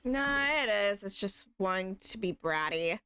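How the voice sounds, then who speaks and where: Lively woman chatting during gameplay. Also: mid-range voice, unhurried, clean tone. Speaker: gaming streamer's co-player. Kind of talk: casual chat while gaming. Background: faint game music.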